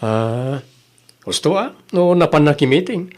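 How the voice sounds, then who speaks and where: A man's voice: fairly high pitch, animated, unhurried. A man playing a village family man with an Ilocano accent in casual comedy-drama dialogue.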